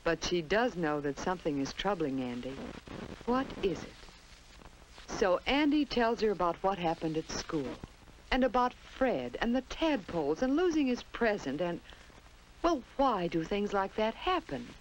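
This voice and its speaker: An American woman with a fairly low voice narrates an educational film from the 1950s. Her delivery is very animated, phrased simply as a story for young children. The old soundtrack carries faint noise. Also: measured pace, low-quality recording.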